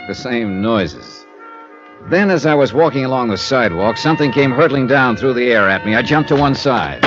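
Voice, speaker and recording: An actor playing a confident insurance investigator, breezy, wry and matter-of-fact, in 1950s radio drama style. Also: male voice, mid-range voice, close to an everyday voice. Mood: wry, narrating. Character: brisk, confident male freelance insurance investigator. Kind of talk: 1950s radio drama acting and first-person narration. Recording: muffled old radio recording with music.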